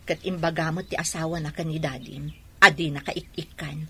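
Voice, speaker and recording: A woman's voice: deep voice, fairly even intonation, normal speed, a little hiss or hum, close to an everyday voice. A woman acting a wronged but resolute wife and mother in a radio drama. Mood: grave, explaining.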